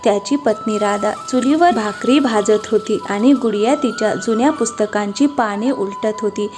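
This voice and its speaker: A woman's voice: medium pitch, moderate pace. A woman reading scripted narration for a cartoon moral story. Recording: music behind the voice.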